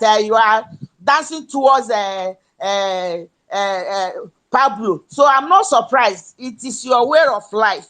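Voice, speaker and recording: A Nigerian woman giving an impassioned political speech in an audio chat room, emphatic and at times shouting. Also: on the high side. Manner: emphatic, rises to shouts, pidgin-tinged. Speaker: speaker in a political audio space.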